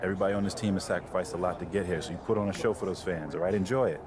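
Actor as deep-voiced, earnest college coach. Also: male voice, very fast, lightly acted. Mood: motivating. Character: earnest, motivating male college basketball coach. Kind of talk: cinematic game dialogue. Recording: clear ambient noise behind the voice.

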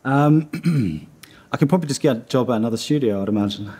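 A man in his thirties, from Iceland, talks through a seated interview in a dim studio room. His voice is warm and mellow with a slightly husky edge. He rambles and restarts, leaning heavily on fillers. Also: mid-range voice, expressive, a little fast. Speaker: animation studio founder.

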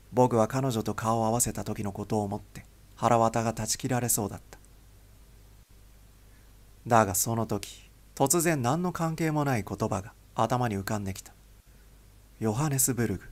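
A man reading a novel aloud as a scripted, dramatic audiobook narration. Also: fairly low pitch.

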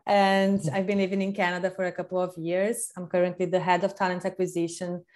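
Brazilian-accented woman moderating a panel. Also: lowish voice. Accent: Brazilian Portuguese. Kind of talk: casual panel chat. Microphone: earphone headset on video call.

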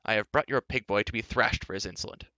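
A man's voice: on the low side, fast talker, pushed and bright, smooth, no room sound, lo-fi sound. A man voicing an arrogant, ill-tempered young prince in a storybook, speaking in an imperious, scornful, commanding way. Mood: angry, commanding. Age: young adult.

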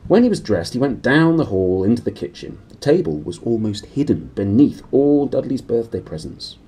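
A British man in his thirties reading a storybook aloud briskly and expressively to a camera in a small room. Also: mid-range voice, sing-song, dark tone, breathy edge. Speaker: reader. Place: small decorated room indoors.

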